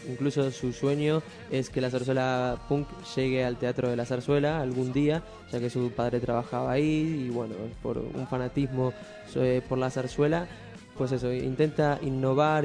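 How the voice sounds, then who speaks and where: An Argentine man talks as a radio co-host, offering informal recommendations. His voice is soft-edged and mellow, with little rise or fall in pitch, and he feels his way through sentences haltingly. Faint music plays under him.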